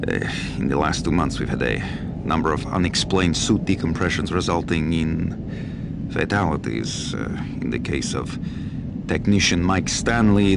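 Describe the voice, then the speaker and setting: A man's voice: sits low, gravelly. A man playing a bureaucratic supply manager at a Mars lab, dictating a formal, matter-of-fact audio log for a game. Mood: businesslike, grim. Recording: very noisy audio log recording.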